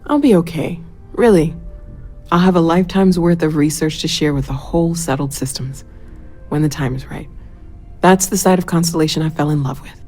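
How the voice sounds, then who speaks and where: A woman playing a dedicated, sincere and excitable scientist in a video game. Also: sits low, normal speed, husky, soft background music. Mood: tender, resolute.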